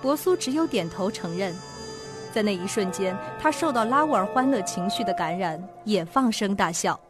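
A woman's voice: medium pitch, expressive, moderate pace, natural voice. A woman reading scripted dramatic narration for a suspense radio play. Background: music behind the voice.

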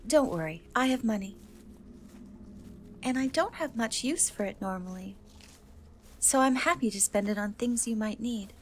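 Woman doing a soft gentle cat girl for fantasy asmr, kinda high voice, hesitant and wistful, some background ambience noise. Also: animated, close to an everyday voice. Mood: apologetic, reassuring. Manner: hesitant, soft, slow, often trailing off. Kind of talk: soft-spoken ASMR roleplay.

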